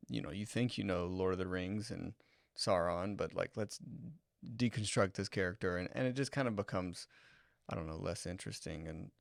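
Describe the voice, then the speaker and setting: A man's voice: deep voice, moderate pace, creaky, no room sound, studio quality. A man co-hosting a casual podcast discussion, thinking aloud in a hesitant, conversational way. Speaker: podcast co-host.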